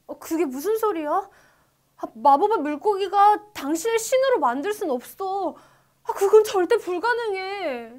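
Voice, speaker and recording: A woman's voice: extremely high pitch, animated, normal speed, a little mellow. A woman playing a meek, devoted fisherman husband who pleads, in a children's storybook. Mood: alarmed.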